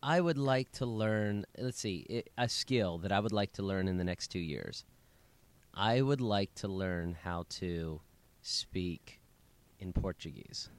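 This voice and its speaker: A man's voice: deep voice, unhurried, clear, resonant tone. A man in his thirties, a pastor co-hosting a casual chat podcast, who talks in a playful, teasing way with dry jokes. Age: 30s.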